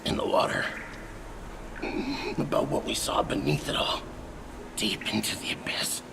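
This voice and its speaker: An actor playing a haunted, guilt-ridden seafarer in a video game, telling his story in a halting, brooding, breathy rasp. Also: close to an everyday voice. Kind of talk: dramatic game cutscene monologue. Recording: heavy background ambience noise.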